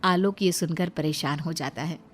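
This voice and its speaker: A woman's voice: sits low, normal speed, faint music behind. A woman narrating a scripted moral story as a storyteller.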